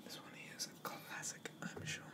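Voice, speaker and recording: A man speaking softly in a breathy whisper close to the microphone, slow and courteous, roleplaying an eager weapons merchant for ASMR. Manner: slow, hesitant, courteous sales patter. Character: polite, eager male weapons merchant obsessed with swords.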